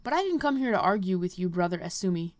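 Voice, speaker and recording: A woman's voice: fairly low pitch, big pitch swings, quick pace. A woman voicing a defiant, headstrong young girl healer from game quest dialogue, stubborn and dismissive. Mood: defiant, proud.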